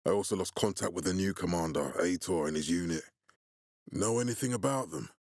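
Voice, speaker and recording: A man voicing a grave, calculating militia commander in a video game, with a husky, authoritative and deliberate delivery. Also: low-pitched, darkish tone, no echo, studio quality. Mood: grave, measured. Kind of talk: video game character dialogue.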